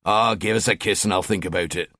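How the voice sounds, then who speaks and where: A man doing a gruff cynical Scottish cop. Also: mid-range voice, animated, moderate pace, no echo, studio quality. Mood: grumbling. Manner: grumbling, cynical. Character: gruff bent middle-aged Scottish detective.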